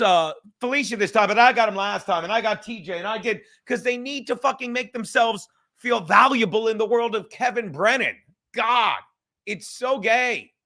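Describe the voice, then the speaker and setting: A man with a high, bright voice hosts a loose livestream hang. He rambles casually, putting himself down and swearing freely as he goes. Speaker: livestream host. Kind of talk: casual profane livestream chat.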